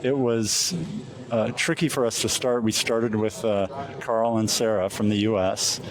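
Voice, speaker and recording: Low-voiced American man, halting delivery. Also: steady tone. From Alaska, USA. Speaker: competition glider pilot being interviewed. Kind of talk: casual interview, recounting a flight. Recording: crowd chatter in the background.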